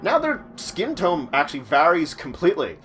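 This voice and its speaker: Higher-pitched man, theatrical explainer. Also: wildly swooping pitch, moderate pace, a bit bright. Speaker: host of tabletop roleplaying guide videos. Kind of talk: conversational explainer narration.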